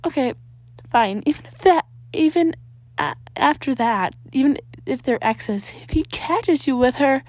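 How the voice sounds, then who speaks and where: A woman's voice: sits high, a little slow, dry recording, voice pushed up. Woman as skeptical, wisecracking teenage boy. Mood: incredulous. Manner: flat, wary, disbelieving. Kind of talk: acted fan fiction dialogue.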